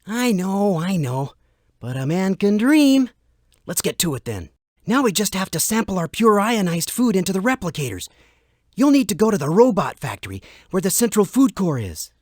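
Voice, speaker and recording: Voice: mid-range voice, very animated, moderate pace, a little mellow, no room sound, clean studio sound. An actor playing a laid-back, food-loving, wisecracking young guy in an educational cartoon game. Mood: wry. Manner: playful, wry.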